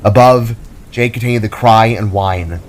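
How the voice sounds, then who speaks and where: A man's voice: lowish voice, normal speed. A man giving a dramatic scripted narration of a fantasy story, as its narrator. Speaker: author and narrator. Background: soft music.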